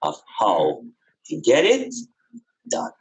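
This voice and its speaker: A man's voice: on the low side, huge pitch swings, slow pace, poor audio. A man talking spiritual matters in an unscripted podcast conversation, emphatically repeating phrases to drive them home.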